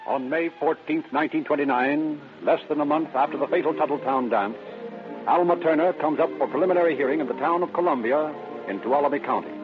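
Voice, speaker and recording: A man's voice: on the high side, brisk. An American man narrating a vintage radio crime drama in a solemn, formal, dramatic scripted style. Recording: tinny vintage radio recording with music.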